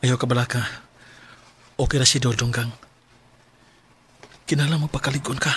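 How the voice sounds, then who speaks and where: A man's voice: medium pitch, steady tone, normal speed, fairly bright tone, slight background noise, lightly acted. A man with a Cebuano Filipino accent playing a devoted, anxious, tender husband and father in a sentimental radio drama. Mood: gentle, caring. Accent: Filipino, Cebuano-speaking. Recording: music behind the voice.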